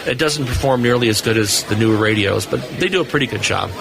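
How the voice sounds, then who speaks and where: A man's voice: medium pitch, fast talker, creaky, poor audio. A man speaking as an expert guest on a live show, explaining technical points casually and with enthusiasm. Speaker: radio lab expert guest. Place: busy hamfest exhibit hall, live booth. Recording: live from a crowded convention floor. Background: crowd noise.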